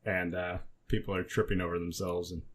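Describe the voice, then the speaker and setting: A man's voice: low-pitched, level tone, brisk, slight background noise. A man co-hosting a casual podcast, telling rambling, joking stories peppered with swearing. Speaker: podcast co-host.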